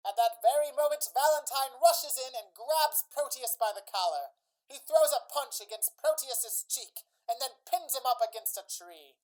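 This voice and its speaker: A woman giving scripted dramatic narration as the storyteller of a radio play, in measured phrases. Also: high-pitched, a little mellow, low-quality recording.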